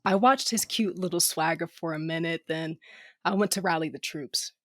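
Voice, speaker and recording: A woman's voice: low voice, normal speed, a bit bright, no echo, studio quality. A woman playing a blunt, sardonic female starship captain who narrates her own science fiction audio drama in slangy language. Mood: wry narration. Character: tough, sardonic, foul-mouthed female ship captain with a cybernetic eye. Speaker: narrator and lead character. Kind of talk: first-person audio drama narration and dialogue.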